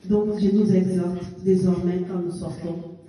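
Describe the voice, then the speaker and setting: A woman's voice: lowish voice, fairly even intonation, a little slow, warm tone. A woman in her fifties giving a fervent testimony and exhortation in church, with emphatic rising bursts. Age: 50s. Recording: echoey church hall picked up from a distance.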